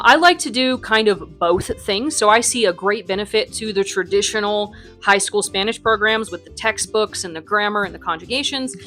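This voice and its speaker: A woman's voice: mid-range voice, sharp tone. Chatty young woman teacher. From United States. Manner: chatty, with frequent ums and likes. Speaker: Spanish teacher and academy founder. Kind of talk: casual interview answers.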